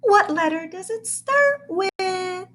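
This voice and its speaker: A woman's voice: extremely high pitch, expressive, slow pace, fairly bright tone, pitched above the performer's own voice, full character voice. Woman doing a gushing chirpy kindergarten teacher. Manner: sing-song, chirpy.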